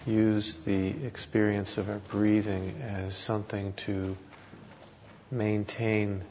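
A man teaching guided meditation, speaking in short phrases with long pauses between them. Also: on the low side, level tone, a little mellow. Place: meditation hall talk. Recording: dull recording with background hiss.

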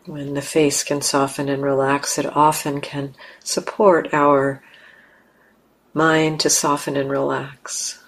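A woman leading a guided meditation, speaking calmly and gently with long, unhurried pauses. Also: low voice, creaky. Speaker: meditation teacher.

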